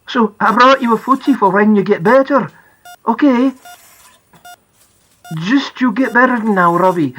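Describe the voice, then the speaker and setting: A woman reader acts out a remorseful Scottish dad from an audiobook. The voice is husky and wobbly, pleading and earnest, as he says how sorry he is. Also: fairly high pitch, a bit bright, pitched above the performer's own voice, rough recording. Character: remorseful Scottish man, a father, upset and apologetic. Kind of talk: audiobook character acting.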